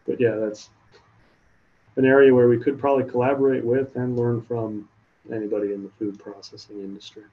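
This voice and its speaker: A man's voice: medium pitch, fairly even intonation, fairly quick. A man in his thirties casually answering questions after a talk on a dull-sounding video call. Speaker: research scientist, presenter.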